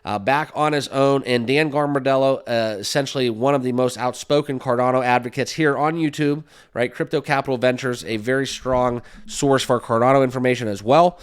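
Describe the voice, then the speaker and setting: Male host with a slight cold, mid-pitched and smooth, upbeat and chatty, full of "right?" and "uh". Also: fairly even intonation, brisk, hardly any pauses. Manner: upbeat, full of fillers, keeps asking "right?". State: has a cold, sniffling. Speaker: crypto YouTube host. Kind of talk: casual talk to camera.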